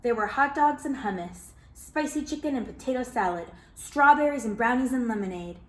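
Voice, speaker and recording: A woman reading a children's picture book aloud, expressive and animated, calling listeners friends. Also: on the high side, moderate pace. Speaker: children's storyteller. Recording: roomy echo.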